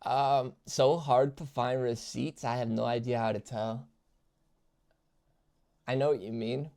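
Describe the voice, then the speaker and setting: Streamer, male, mid-range voice, casual halting reaction commentary addressed to viewers. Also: mid-range voice, fairly even intonation, darkish tone. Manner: halting, many restarts and fillers, talking to chat.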